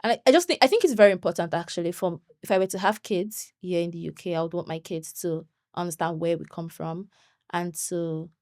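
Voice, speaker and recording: Animated woman with a lowish voice. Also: dry recording, clean studio sound. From Nigeria. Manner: animated, laughs often, quick bursts when excited. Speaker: podcast guest. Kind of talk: conversational interview.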